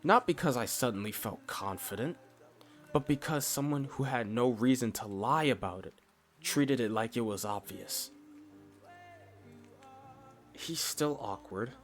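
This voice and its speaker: A man playing a blunt, steady, reassuring furry character in a scripted ASMR roleplay, speaking in short, deliberate phrases. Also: mid-range voice, natural voice. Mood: matter-of-fact, fond. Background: music.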